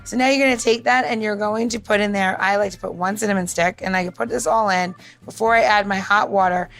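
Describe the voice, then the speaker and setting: A woman speaks at an average pitch as a podcast co-host. She is informal and emphatic, explaining things in a jokey, conversational style. Faint music sits in the background. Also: steady tone, fast, a bit bright. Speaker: podcast co-host demonstrating drink recipes. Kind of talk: casual instructional chat.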